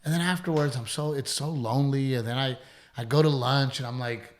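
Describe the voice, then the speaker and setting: A man's voice: mid-range voice, steady tone, fairly quick. A middle-aged man, a comedian hosting a podcast, chatting casually in a rambling, profane, self-deprecating way. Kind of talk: casual chat.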